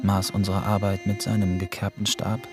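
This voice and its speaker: A male actor as a prisoner narrator in a radio drama, reflective and emotionally detached. His lowish voice rides over clearly audible instrumental music. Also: flat delivery, normal speed, lightly acted. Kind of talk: literary radio play narration.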